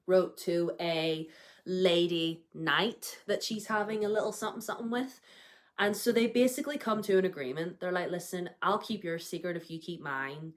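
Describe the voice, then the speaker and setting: A woman's voice: lowish voice, fairly bright tone. A young woman talks casually about her new books with a slight cold in her voice. She is chatty, and her speech is full of ums and likes. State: has a cold, may cough. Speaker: book vlogger. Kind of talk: casual chat.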